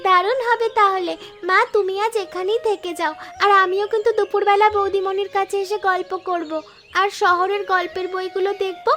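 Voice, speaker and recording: Chatty cartoon youngster woman acting, extremely high voice, narrow melody, faint music. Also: little pitch movement, normal speed, bright, forceful tone. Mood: excited. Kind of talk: animated moral story voice acting.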